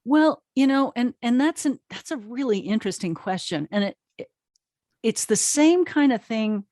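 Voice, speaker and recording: A woman's voice: a little high, animated, moderate pace, warm tone, no echo, clean studio sound. A middle-aged American woman talking casually and emphatically as an interview guest, often restarting her sentences. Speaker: interview guest, audio drama producer and writer. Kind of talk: casual conversational storytelling in an interview.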